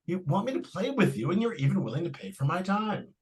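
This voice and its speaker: An old-time radio family dad, acted by a man, indulgent yet firm. His pitch swoops widely through hesitant, repeated phrases, and he talks down to his listener in a patronizing way. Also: sing-song, fast. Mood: indulgent, amused. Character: distracted, indulgent but firm family father. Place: live stage radio-play performance.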